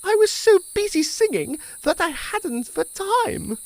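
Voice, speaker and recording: A man voicing a starving, pleading female grasshopper in a storybook fable, with plaintive, drawn-out speech. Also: extremely high pitch, sing-song, dry recording, pitched above the performer's own voice, full character voice. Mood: sheepish.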